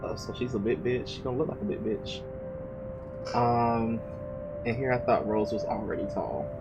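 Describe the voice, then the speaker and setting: A man's voice: medium pitch, fairly even intonation, moderate pace, muffled recording, loud music behind the voice. A man reading the story of a visual novel aloud, stumbling and restarting often, with a casual manner.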